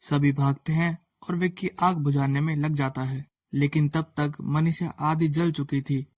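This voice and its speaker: Slightly high-voiced male storyteller. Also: steady tone, unhurried, darkish tone. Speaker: narrator. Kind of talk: scripted moral story narration. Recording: faint background music.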